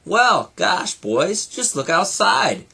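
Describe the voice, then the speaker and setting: A man's voice: high voice, sing-song, takes it slowly, gravelly, bright, forceful tone, husky, pitched above the performer's own voice, exaggerated voice. A man voicing a cheerful, friendly cartoon mouse uncle who eagerly explains things, reading from a storybook.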